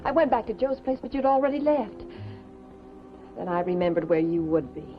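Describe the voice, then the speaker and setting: A woman's voice: medium pitch, animated, fairly quick, close to an everyday voice. An actress playing an earnest, anxious, bookish young woman in a 1950s television drama. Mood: anxious, pleading. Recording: dull 1950s TV soundtrack, music behind.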